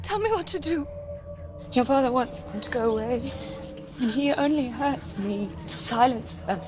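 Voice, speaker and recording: A woman voicing a tormented young warrior in a video game, fragile yet defiant, breathy and intense. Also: high voice, expressive, moderate pace, music in the background. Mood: anguished, pleading.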